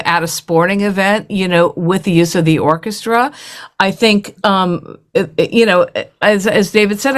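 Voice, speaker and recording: A woman speaking as a composer in a conversational panel interview, halting and thinking aloud in loose phrases. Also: on the low side.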